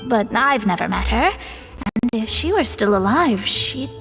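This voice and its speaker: A high-pitched, earnest young female astrologer speaks formally and thoughtfully, with gentle hope. It is anime game dialogue with a reverberant tail and faint music behind. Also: animated, unhurried. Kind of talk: anime-style video game voice acting.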